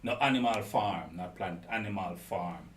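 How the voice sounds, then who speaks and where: A man's voice: deep voice, normal speed, a crowd in the background. A man, hosting a casual chat with blunt, opinionated remarks. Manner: blunt, opinionated, patois phrasing. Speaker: host.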